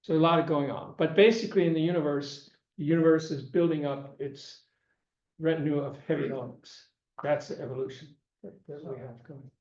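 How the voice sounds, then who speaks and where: Older guy teaching a class, bit high voice, losing it, halting, echoey room with dull muffled sound. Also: fairly even intonation, a little mellow. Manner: halting, repeats words, clears throat. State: losing his voice. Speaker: lecturer. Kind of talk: classroom lecture. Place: lecture room.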